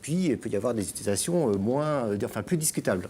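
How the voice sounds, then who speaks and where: A man's voice: medium pitch, expressive, fast, dark tone, a little hiss or hum. A middle-aged man from France, talking as a guest columnist in a radio chat, hesitant with many fillers and restarts. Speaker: guest columnist on digital topics.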